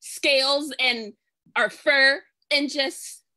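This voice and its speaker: A woman's voice: sits high, steady tone, extremely slow, pushed and bright, full character voice. A woman playing an earnest jungle animal rallying its friends in an improvised children's story. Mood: earnest, inspired. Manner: motivational, declaring.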